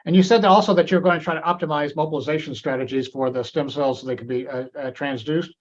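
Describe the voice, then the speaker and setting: A man hosts a medical grand rounds webinar and fields questions for the speaker. His voice sits a bit high, and he halts often with fillers and restarts. The audio is dull and muffled, like a video call. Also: steady tone, quick pace. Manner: hesitant, many fillers and restarts. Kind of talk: moderating a webinar Q&A.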